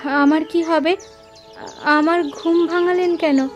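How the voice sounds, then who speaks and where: A sleepy young wife from an animated folk tale, acted by a woman, speaking extremely high. She is innocent and puzzled, questioning in a mildly put-out way, with light music underneath. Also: slow. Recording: music behind the voice.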